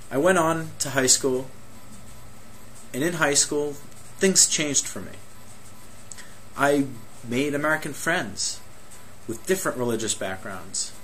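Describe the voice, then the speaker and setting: Earnest Egyptian-born man, measured testimony. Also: medium pitch, fairly bright tone, a little hiss or hum, poor audio. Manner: earnest, measured, with rhetorical questions. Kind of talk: personal testimony monologue.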